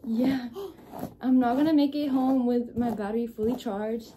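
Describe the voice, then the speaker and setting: Hoarse teen girl vlogging on a phone. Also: fairly high pitch, measured pace. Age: teens. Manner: excitable, giggly, talks straight to the viewers. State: slightly hoarse, losing her voice. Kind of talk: casual vlog chat to a handheld phone camera. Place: outdoors in a city, then at home. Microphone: phone camera held at arm's length. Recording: noisy phone audio with crowd in background.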